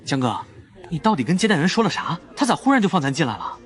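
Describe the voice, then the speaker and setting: A man acting an excitable, naive young sidekick in a comic drama. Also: fairly high pitch, fast. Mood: astonished, curious.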